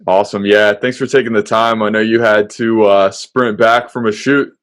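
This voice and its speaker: Low-voiced man hosting an interview. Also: fairly even intonation, normal speed. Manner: friendly, easygoing, with fillers. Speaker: podcast host. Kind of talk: casual interview chat.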